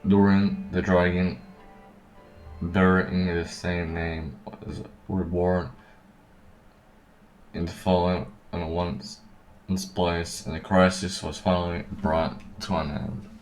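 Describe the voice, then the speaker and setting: A man streaming a game, reading story text aloud haltingly and repeating words as he stumbles. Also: low voice, level tone. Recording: echoey room, distant mic, game music.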